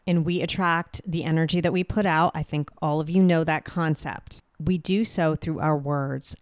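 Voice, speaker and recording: A woman hosting a solo podcast, speaking directly and clearly with a booming voice. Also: no room sound, studio quality. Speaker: podcast host.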